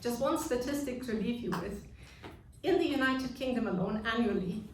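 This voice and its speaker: A woman's voice: a little high, moderate pace, a little hiss or hum. German-accented woman speaking in an echoey hall. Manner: earnest, with hesitant fillers. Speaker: award speaker. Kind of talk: speech at an awards evening. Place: large echoey function room. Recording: echoey room, distant mic, music behind.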